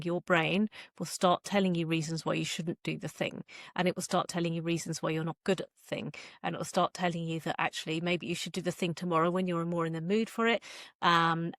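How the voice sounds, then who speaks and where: A woman with a slightly low voice speaks as a guest author in a casual interview. She feels her way through her thoughts, often interrupting herself. The sound is very dry, with hardly any room in it. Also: fairly bright tone, no echo.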